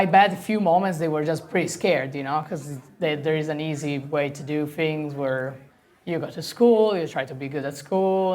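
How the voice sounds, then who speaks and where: A woman from Italy with an Italian accent, a sailor talking casually in an interview, laughing often and acting out what people said to her. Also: low-pitched. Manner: chatty, laughs often, acts out quoted dialogue. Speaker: professional sailor guest.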